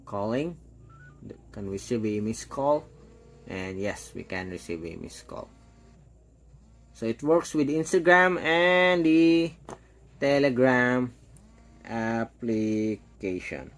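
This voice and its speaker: Guy doing a casual gadget demo, really slow pace, short halting phrases, medium pitch. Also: lively intonation. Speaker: product reviewer.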